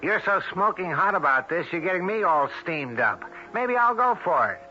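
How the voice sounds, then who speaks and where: An actor playing a brash but indulgent newspaper boss in a 1970s radio drama, with a punchy, wisecracking delivery. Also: male voice, high-pitched, very animated, normal speed, sharp tone, slight background noise, exaggerated voice. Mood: exasperated, amused. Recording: dull vintage radio broadcast recording.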